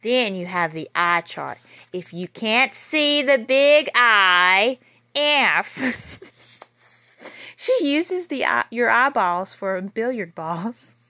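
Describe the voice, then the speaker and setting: A woman in her thirties reading a children's picture book aloud in a small bedroom, with lively storytelling and playful asides, recorded on a phone camera nearby. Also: fairly high pitch, extremely slow, no room sound, clean studio sound. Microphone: phone camera held nearby.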